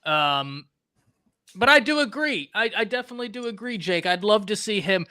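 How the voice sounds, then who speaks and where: A man from Texas hosting a casual live-stream sports talk show, animated and talking to chat viewers by name. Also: high voice, moderate pace, no room sound, studio quality.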